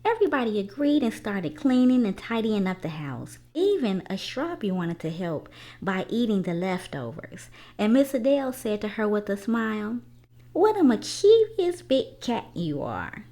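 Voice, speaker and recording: A woman reading a children's book aloud in an expressive storytelling style, pausing deliberately between phrases. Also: medium pitch.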